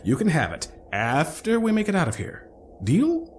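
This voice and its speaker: A man's voice: a little high, huge pitch swings, moderate pace, a little hiss or hum. A man playing a genial, chatty, centuries-old human rescuer in an audio drama, wordy and self-assured, often breaking off mid-thought. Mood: pleased, playful. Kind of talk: audio drama, one-sided dialogue acting.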